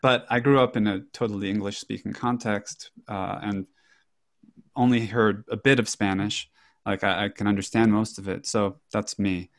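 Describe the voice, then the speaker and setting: A man's voice: on the low side, steady tone, moderate pace. A man on a group video call speaking reflectively about himself. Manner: reflective, thoughtful. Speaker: participant.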